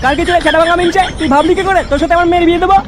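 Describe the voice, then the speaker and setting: A man voicing an irascible, foul-mouthed parent in a comedy cartoon, scolding and bullying in a pitched-up voice. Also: fairly even intonation, very fast, crowd noise. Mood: angry, demanding. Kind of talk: exaggerated comedy cartoon acting. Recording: noisy track with street and vehicle ambience.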